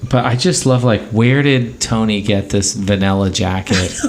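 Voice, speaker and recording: A man's voice: fairly low pitch, measured pace. A man co-hosting a podcast, casually recapping television in conversation. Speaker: podcast co-host. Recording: echoey room with background noise.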